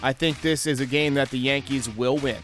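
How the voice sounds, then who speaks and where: A man's voice: mid-range voice, steady tone, a little fast, a bit bright, faint music behind. A man hosting a baseball fan show, delivering sports commentary and previews. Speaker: host of a baseball fan channel.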